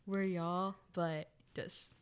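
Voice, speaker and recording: A woman's voice: on the low side, fairly even intonation, extremely slow. Nineteen-year-old woman chatting casually. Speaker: podcast guest, college student. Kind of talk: casual chat.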